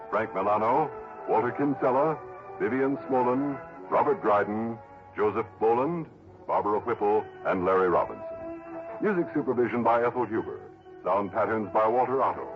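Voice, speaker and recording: Lively vintage radio announcer man. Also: on the low side. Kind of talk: 1960s radio announcing, scripted. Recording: dull vintage radio broadcast recording.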